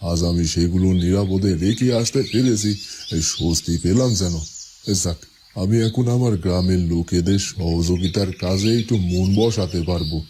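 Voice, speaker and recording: Man as a low-voiced, musing village headman. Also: moderate pace, noisy background, close to an everyday voice. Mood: relieved, musing. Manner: musing, hesitant, talks to himself. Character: earnest village headman, a worried, dutiful father. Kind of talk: cartoon fairy-tale acting. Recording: loud music behind.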